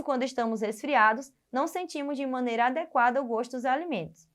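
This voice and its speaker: A Brazilian woman with a fairly high voice teaches a biology lesson. She explains step by step, often pausing on fillers and restarting her phrases. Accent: Brazilian Portuguese. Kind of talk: recorded classroom lesson.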